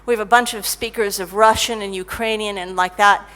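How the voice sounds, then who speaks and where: A middle-aged woman giving a conference talk about technical writing, lively and self-mocking as she tells stories. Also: mid-range voice, a little fast, rough recording. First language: English. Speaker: conference speaker, technical writer.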